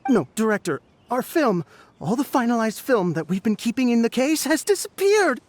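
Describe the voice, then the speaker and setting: A woman's voice: fairly high pitch, sing-song, moderate pace, a little mellow, slight background noise. A woman playing an anxious, urgent film crew member in an anime game. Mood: panicked. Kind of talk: anime video game voice acting.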